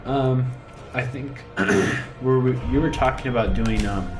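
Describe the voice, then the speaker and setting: A man's voice: medium pitch, unhurried, reverberant. A young man around twenty chatting casually with a friend during a game stream, asking questions and reacting with easy remarks. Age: young adult. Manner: easygoing, asks questions, reacts with "dang" and "that's crazy". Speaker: co-host reading chat. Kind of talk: casual chat while watching a game. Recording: distant mic under loud game music.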